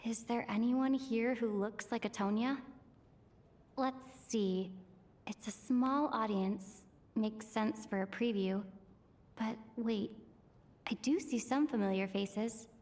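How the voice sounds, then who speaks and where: Actress as young female game adventurer: high voice, easygoing, curious, musing aloud to herself. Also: lightly acted. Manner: thinking aloud, conversational. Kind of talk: video game voice acting.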